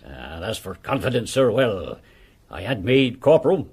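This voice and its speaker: An actor playing a chatty, deferential village postmaster and old soldier in a period radio drama. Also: male voice, lowish voice, very animated, a little slow, darkish tone, slightly rough, exaggerated voice. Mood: frank, matter-of-fact. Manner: garrulous, deferential, inquisitive. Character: chatty, deferential village postmaster, a one-legged former army corporal.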